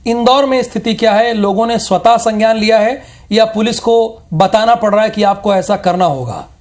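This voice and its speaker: A man's voice: high-pitched, normal speed, darkish tone. A man anchoring live television news in an explanatory way, posing rhetorical questions.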